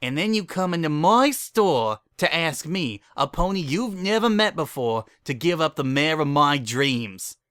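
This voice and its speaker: A distraught young mare who runs a candy shop, voiced by a man, indignant and emotional in a storybook reading. The voice is pushed high and bright, with a dry close sound. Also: big pitch swings, measured pace, hardly any pauses, no echo. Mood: angry, indignant.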